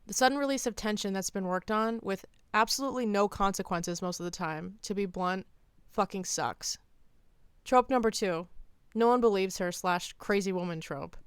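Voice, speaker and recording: A woman video essayist with a clean, smooth voice and a very dry recording free of room sound. She reads scripted opinion commentary in a deadpan way, leaning firmly on her key phrases. Her pitch sits around the middle for a woman. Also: normal speed, no echo.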